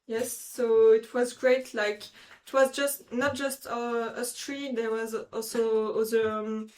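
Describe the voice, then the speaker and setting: Halting young French-accented woman. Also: fairly high pitch, flat delivery, darkish tone. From France. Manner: halting, searching for English words. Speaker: student volunteer guest.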